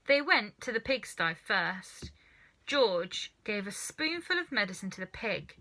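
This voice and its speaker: A woman narrates a children's book expressively. Her voice is bright and crisp at a middle pitch, with very lively intonation. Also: unhurried, a little hiss or hum. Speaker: storybook reader.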